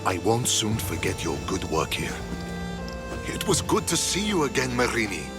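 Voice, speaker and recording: An earnest Spartan commander from a video game, diplomatic and formal. His low voice moves expressively, with clear music underneath. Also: wildly swooping pitch, moderate pace. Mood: courteous. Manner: formal, courteous. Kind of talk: video game cutscene acting.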